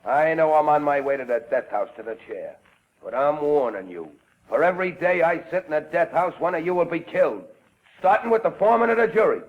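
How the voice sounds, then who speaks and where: An actor playing a vengeful condemned gangster in 1940s radio style, talking tough, slangy and threatening. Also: male voice, on the high side, expressive, fairly quick, close to an everyday voice. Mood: defiant, threatening. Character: vengeful condemned male gangster, hard and menacing. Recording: muffled old radio broadcast recording.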